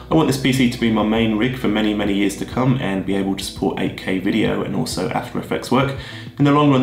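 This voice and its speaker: A man, husky from a head cold, walking through his tech choices in a steady, methodical way. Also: lowish voice. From Australia. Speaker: video creator. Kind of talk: scripted tech vlog explanation. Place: plain room indoors, seated at a desk. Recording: roomy echo with music behind.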